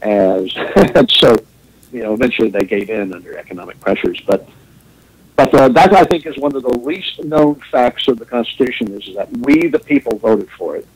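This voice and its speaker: A man's voice: medium pitch, expressive, normal speed, husky, low-quality recording. A man who grew up in Japan, hosting a radio talk show, rambling through an opinionated monologue with wry chuckles.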